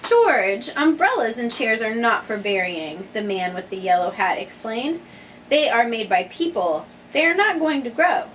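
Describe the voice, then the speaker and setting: A woman's voice: on the high side, sing-song, measured pace, fairly bright tone. A woman, a mother, reading a children's picture book aloud and putting questions to her young listeners. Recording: noisy, distant microphone.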